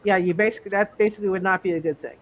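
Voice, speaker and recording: A game master casually refereeing a tabletop roleplay session, thinking aloud in repeated, halting phrases. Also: mid-range voice, steady tone.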